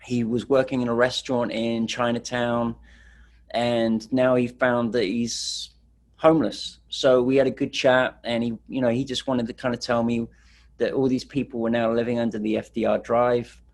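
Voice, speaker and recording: A man's voice: medium pitch, level tone, normal speed. A middle-aged man from England, casually telling stories as a street photographer, rambling with fillers. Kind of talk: casual presentation.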